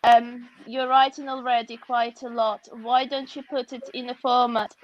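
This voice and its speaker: A woman's voice: on the high side, flat delivery, moderate pace, lo-fi sound. A woman from Russia with a Russian accent, a guest author speaking candidly and reflectively, often laughing, over a video call.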